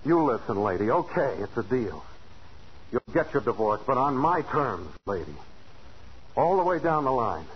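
A man's voice: medium pitch, sing-song, normal speed, close to an everyday voice. A man playing a proud, jealous, domineering husband in a 1940s radio drama, curt, commanding and needling. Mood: hard, defiant. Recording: dull, hissy 1940s radio recording.

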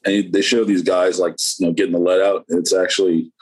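A man with a lowish, husky voice chats loosely as an interview guest. He rambles, trails off and restarts often, filling gaps with ums and likes. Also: flat delivery, quick pace. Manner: rambling, many fillers and restarts. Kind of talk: casual interview conversation.

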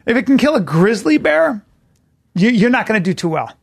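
A man co-hosting a podcast in casual chat, his voice pitched a little high with a gravelly edge. He drives his points home in an emphatic, lecturing way and mixes in jokes. The studio sound is dry and close. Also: very animated, fairly quick, no echo. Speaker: podcast co-host.

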